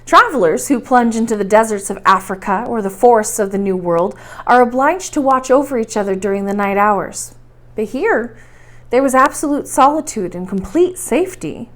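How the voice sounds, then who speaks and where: Animated woman reading a story aloud. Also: fairly high pitch. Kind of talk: expressive scripted audiobook narration.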